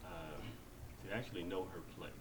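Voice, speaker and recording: A man's voice: deep voice, moderate pace. A man in his forties giving opinions on a panel in an emphatic, declarative way. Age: 40s. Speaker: panel guest. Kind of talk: casual opinionated panel talk. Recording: clearly noisy room recording.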